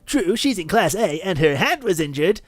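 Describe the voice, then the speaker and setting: A man's voice: high voice, very animated, moderate pace, no room sound, faint music behind. A man co-hosting a let's play, chatting playfully about a game and reading bits of it aloud.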